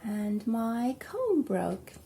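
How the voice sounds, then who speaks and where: A South African woman chatting casually at home while doing her hair, rambling and filling pauses with ums. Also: a little high, sing-song, vocal fry, dark tone, a little hiss or hum. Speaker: vlogger. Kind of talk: casual hair tutorial chat.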